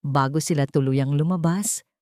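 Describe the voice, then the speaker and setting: A woman reads a scripted dramatic story in a measured, solemn way, phrase by short phrase. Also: sits low, mellow, dry recording, studio quality. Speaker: story narrator.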